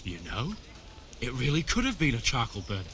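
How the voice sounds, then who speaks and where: A man's voice: mid-range voice, huge pitch swings, fairly quick, a bit bright, slight background noise. A simple village fellow who has lost nearly everything, for a video game. His earnest voice rises and falls widely over faint outdoor ambience, with a humble, grateful temper. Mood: wary, confiding. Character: robbed, grieving village man, simple and grateful. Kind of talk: video game dialogue acting.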